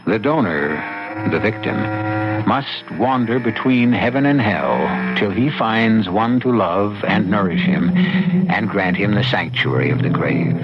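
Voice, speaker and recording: A man hosting an old-time radio mystery, narrating ominously with deliberate, theatrical pauses. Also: on the low side. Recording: muffled old radio recording. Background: loud music.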